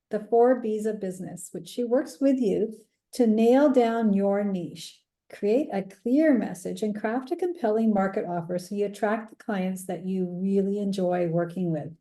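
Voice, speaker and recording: Mellow-voiced woman host. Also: medium pitch, a little slow. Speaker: podcast host. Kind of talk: interview hosting.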